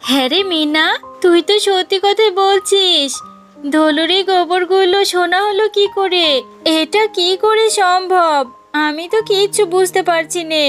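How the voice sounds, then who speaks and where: A woman voicing a caring, anxious mother fox in a children's animated fairy tale, pleading and gently admonishing. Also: very high voice, unhurried, faint music behind. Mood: amazed.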